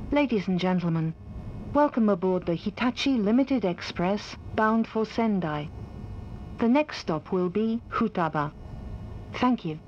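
Female onboard announcer, slow and formal, medium pitch, polite scripted read, slightly dull recording. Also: animated, a little mellow. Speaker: train announcer. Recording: dull sound with faint background noise.

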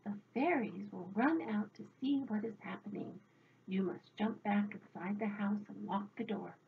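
A woman voicing a calm, knowing village wise woman who gives measured instructions. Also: medium pitch, gravelly, narrow, tinny audio, slight background noise. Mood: advising.

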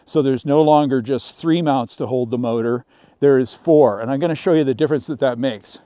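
Middle-aged guy showing off a sled outside in the snow, mellow smooth voice, kinda chatty with lots of uhs. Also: medium pitch, a little fast, dry recording. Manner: casual, explanatory, with frequent fillers. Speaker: snowmobile reviewer. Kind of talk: product walkaround presentation. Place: outdoors in snowy pine woods.

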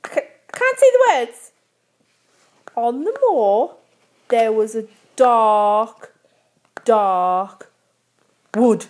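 A woman voicing an excitable, silly dog puppet who reads a storybook to a child in a playful, exaggerated way. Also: a little high. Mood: silly, giggly. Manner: playful, exaggerated, slow dramatic reading to a child. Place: small room at home.

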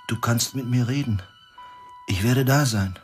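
An actor in an audio drama playing a gentle condemned prisoner, a husband and father speaking in a subdued, halting, earnest way. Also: medium pitch, faint music behind, close to an everyday voice. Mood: sorrowful farewell. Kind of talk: German audio drama acting.

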